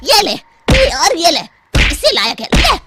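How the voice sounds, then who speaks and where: Woman voicing a gloating, animated cartoon thief. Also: very high-pitched, unhurried, very noisy, full character voice. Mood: menacing. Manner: gloating, taunting. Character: Kalia, sneaky vengeful male thief turned invisible, posing as ghost. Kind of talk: cartoon story character voice.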